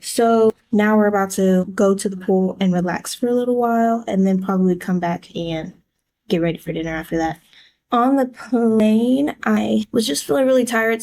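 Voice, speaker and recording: A woman's voice: mid-range voice, darkish tone. An American woman chatting casually to camera as a book vlogger, in a laid-back, conversational way.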